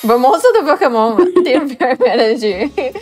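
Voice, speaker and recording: A woman's voice: high-pitched, expressive, moderate pace. A woman whose first language is Italian, giving playful, amused game commentary in slightly broken English. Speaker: speedrun commentator. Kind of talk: casual commentary.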